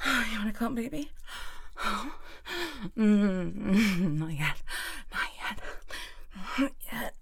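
Breathy, creaky woman, very slow intimate murmur. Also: medium pitch, expressive, dry recording. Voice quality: breathy, whispery. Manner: slow, teasing, dominant murmuring. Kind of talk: intimate erotic audio roleplay, ASMR-like.

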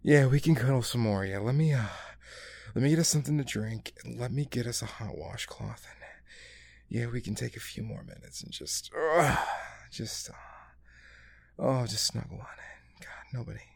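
A man performing an intimate roleplay monologue to a listener, breathy and full of moans and exclamations. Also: medium pitch, animated, moderate pace, no room sound. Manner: breathy, moaning, heavy exclamations.

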